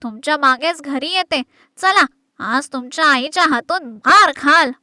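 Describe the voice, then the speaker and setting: A very high, sharp-voiced village woman, acted by a woman in an animated folk tale. She scolds indignantly, with a forceful edge and a dry sound. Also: unhurried, no room sound, heavily acted. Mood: angry, accusing. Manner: shrill, accusing scolding. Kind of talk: animated moral story voice acting.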